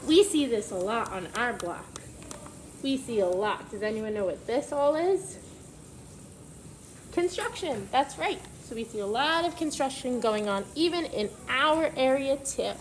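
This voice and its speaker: High-pitched woman reading aloud to children. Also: expressive, a little hiss or hum, rough recording. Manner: instructive, pausing to question young listeners. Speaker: preschool teacher. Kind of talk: children's picture book read-aloud with questions.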